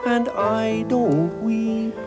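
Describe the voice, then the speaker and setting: A man's voice: high-pitched, extremely slow. A man playing a cheerful, boyish puppet character, bouncy and blithely unbothered, in a playful children's musical number. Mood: carefree, playful. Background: loud music.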